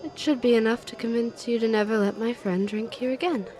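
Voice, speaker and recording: A woman's voice: fairly high pitch, brisk, darkish tone, close to an everyday voice. An actress playing a poised, commanding, very wealthy woman in an audio drama, formal and self-assured. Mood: cool, assured.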